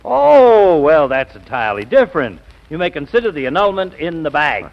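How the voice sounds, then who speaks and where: A dry, skeptical lawyer in 1940s radio comedy. His voice sits a little high with lively inflection, pressing each question wryly. The sound is a dull vintage broadcast. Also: moderate pace. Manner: wry, pointed, interrogating. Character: skeptical, reproachful lawyer friend, dry and knowing.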